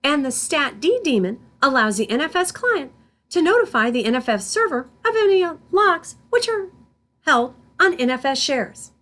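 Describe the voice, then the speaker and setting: High-pitched woman narrating a scripted tutorial. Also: very animated, fairly bright tone. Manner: deliberate, spells out terms symbol by symbol. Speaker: instructor.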